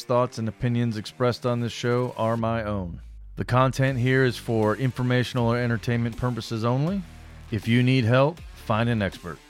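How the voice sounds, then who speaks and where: A middle-aged man with a calming voice, hosting his own podcast in a relaxed, rambling way with self-deprecating humor. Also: lowish voice. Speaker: podcast host. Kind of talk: casual solo podcast monologue.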